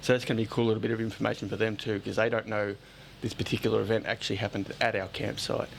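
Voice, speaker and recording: A man's voice: fairly low pitch, level tone, racing, vocal fry, slight background noise. A man with an Australian accent chatting casually as a podcast host, blunt and joking as he rambles along. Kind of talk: casual chat.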